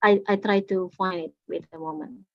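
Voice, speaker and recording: A woman speaks over a video call, answering workshop questions. She speaks haltingly, with frequent fillers and restarts. The audio is dull and lo-fi, with a dry sound. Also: medium pitch, big pitch swings, gravelly, no room sound. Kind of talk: unscripted answers at an online workshop.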